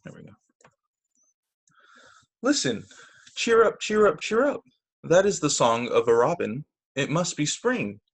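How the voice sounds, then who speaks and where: A man teaching young children through a read-aloud storytime on a video call, with expressive, deliberate phrasing. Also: a little high, husky. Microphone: video call microphone.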